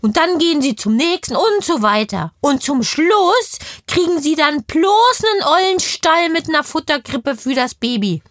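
A woman's voice: sits high, expressive, normal speed, few pauses, fairly bright tone, no echo, clean studio sound. A woman playing a curious, chatty little girl in an audio play, telling things eagerly and in a wordy, childlike way. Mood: earnest, puzzled.

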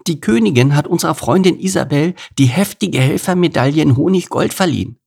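A man voicing a swarm of tiny cheerful bees in high, chirpy, singsong voices for a children's storybook reading. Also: expressive, fairly quick, creaky, darkish tone, slightly rough, full character voice.

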